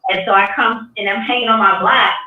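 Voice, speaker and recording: A woman's voice: medium pitch, steady tone, brisk. A woman talking earnestly on an artist panel, thinking aloud with many restarts. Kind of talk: casual panel discussion. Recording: dull, echoey sound from a distance.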